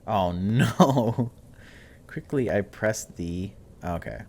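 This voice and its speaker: Low-voiced man doing gameplay commentary. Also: extremely slow, darkish tone. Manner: dramatic reading broken by casual wry asides. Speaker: gaming video host. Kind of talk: gameplay commentary, reading on-screen story text aloud.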